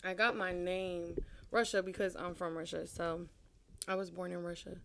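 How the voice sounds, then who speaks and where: Young woman guest, low-ish smooth voice, easygoing storyteller, casual swearing. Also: flat delivery, moderate pace. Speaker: podcast guest. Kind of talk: casual chat interview.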